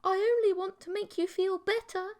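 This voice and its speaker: A woman's voice: extremely high pitch, fairly even intonation, normal speed, darkish tone, voice pushed up. A woman voicing a youthful, clingy insect-like alien girlfriend in an audiobook, sugary sweet and coaxing. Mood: coaxing, pleading. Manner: cutesy, coaxing. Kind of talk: audiobook character voice.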